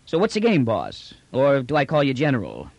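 Audiobook role: brash prisoner trader, voiced by a man, colloquial and bland-faced cheek, lively swings in pitch. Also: normal speed, no echo, lo-fi sound. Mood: surprised, wry. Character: brash, unruffled bearded male space trader prisoner, slangy. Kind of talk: audiobook character dialogue.

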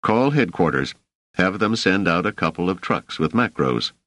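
Man as a gruff male officer, curt orders, bullying, audiobook character, dry close sound. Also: sits low, sing-song, normal speed, a bit bright, no echo, exaggerated voice. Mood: stern.

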